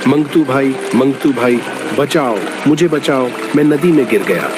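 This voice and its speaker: A man's voice: medium pitch, measured pace, background noise, lightly acted. A man playing a good-hearted, earnest village labourer in a moral story cartoon. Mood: pleading.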